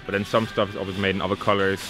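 A man's voice: sits low, flat delivery, rapid-fire, music in the background. A man in his twenties talking casually and informally to camera as a vlogger, sometimes swearing. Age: 20s. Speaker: vlogger and clothing brand owner. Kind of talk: casual vlog talk to camera.

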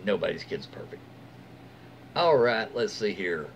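A man's voice: fairly low pitch, very animated, unhurried, highs cut off. An American man in his forties reads stories aloud in a casual, folksy way, adding his own asides. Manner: folksy, informal. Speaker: host reading online stories aloud. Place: small room at home, indoors. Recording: faint room noise behind voice.

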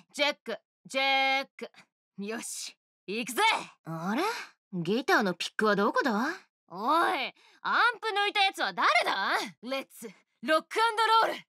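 A woman voicing a brash, swaggering female oni rock star guitarist, with rough tough-girl speech in game voice lines. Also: sits high, bright, forceful tone, dry recording, studio quality, heavily acted. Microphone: studio mic, dry.

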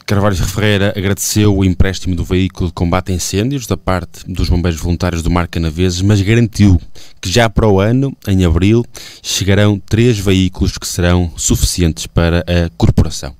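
Low-pitched Portuguese male newsreader. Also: steady tone, a little fast, a little mellow, dry recording. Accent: European Portuguese. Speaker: radio newsreader. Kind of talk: scripted regional news reading. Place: radio studio.

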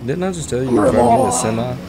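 A man's voice: mid-range voice, animated, very fast, crowd noise. A man on casual gaming voice chat, brash and profane, teasing his teammates with mock aggression. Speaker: streamer trolling random teammates.

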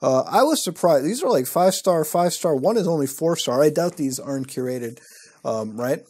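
A man's voice: a little high, lively intonation, moderate pace, a little mellow, slightly rough. A young man hosting his show from a small room at night, reacting to websites with wry, profane commentary. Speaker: host. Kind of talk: casual commentary reading websites.

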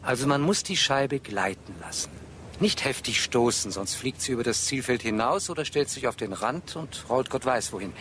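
An actor playing a courteous, attentive ship's officer, polite and precise, in a German radio drama. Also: male voice, mid-range voice, animated, moderate pace, fairly bright tone, slight background noise, lightly acted.

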